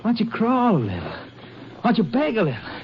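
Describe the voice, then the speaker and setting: A man's voice: sits high, wildly swooping pitch, brisk, exaggerated voice. An actor playing a sadistic young male killer in an old radio crime drama, taunting and sneering. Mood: cold taunting. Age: young adult. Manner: taunting, sneering, cackling laughter. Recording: muffled, very noisy old radio recording.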